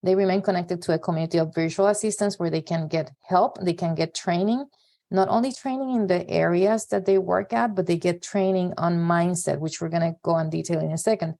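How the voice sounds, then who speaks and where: A woman's voice: lowish voice, fairly even intonation, brisk, vocal fry, mellow. A woman hosting a podcast interview, speaking conversationally in long, meandering sentences. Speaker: podcast host.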